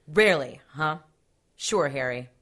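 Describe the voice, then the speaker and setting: A woman's voice: sits low, lively intonation, a little slow, fairly bright tone, heavily acted. A woman playing a cool, capable police detective in an audio drama, matter-of-fact with a dry edge. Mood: dry concern.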